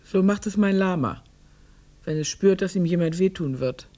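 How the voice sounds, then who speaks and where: A woman's voice: on the low side, normal speed, mellow, natural voice. An actress playing a stubborn, distrustful old farm woman who answers curtly and defiantly in a radio drama. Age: older.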